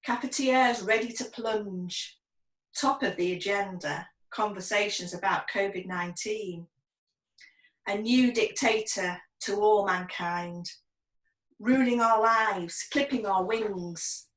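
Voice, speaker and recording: A woman reading her own poem aloud in a measured recital style, recorded in a room at a distance from the microphone. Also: medium pitch, a bit bright, reverberant.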